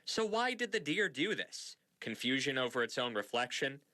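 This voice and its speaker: A man's voice: mid-range voice, very animated, normal speed, pushed and bright. A man reading a scripted TV news feature with a playful, punning delivery. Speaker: news reporter.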